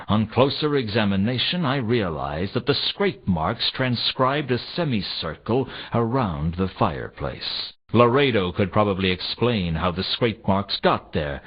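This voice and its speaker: An actor playing a weary, wry male private detective, narrating a noir video game in a deadpan, sardonic, measured way. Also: on the low side, husky, heavily acted. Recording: slightly dull, compressed game audio.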